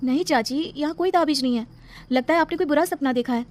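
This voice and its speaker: A woman's voice: high-pitched, fast, natural voice. A woman playing a sleepy, puzzled young niece in a horror cartoon. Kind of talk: Hindi horror cartoon voice acting.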